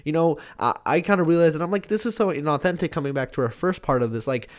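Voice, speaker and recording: A man's voice: a little high, fast talker. A young man, a student applying to college, talking casually and reflectively with lots of "like" and "you know". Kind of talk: casual conversation.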